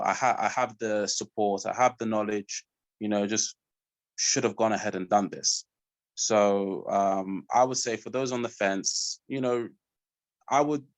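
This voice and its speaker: A man talking as a student guest on a webinar, relaxed and rambling with lots of fillers, over a video call. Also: on the low side, moderate pace, no echo. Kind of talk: casual chat.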